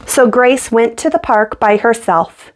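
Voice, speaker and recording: A woman's voice: on the high side, measured pace. A woman reading a children's picture book aloud as a storyteller, acting out the dialogue as she goes.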